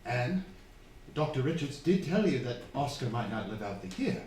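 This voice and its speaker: An actor playing a frightened, guilt-ridden young parson in a stage radio drama, anxious and halting. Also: male voice, mid-range voice, big pitch swings, a little mellow, close to an everyday voice. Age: young adult. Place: theatre stage, live performance. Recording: very echoey hall, distant mic, faint noise.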